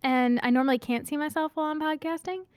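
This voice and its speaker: A woman's voice: sits high, quick pace, darkish tone, no room sound, studio quality. A woman co-hosting a podcast, chatting in an upbeat, self-joking way. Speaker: podcast co-host. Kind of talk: casual chat.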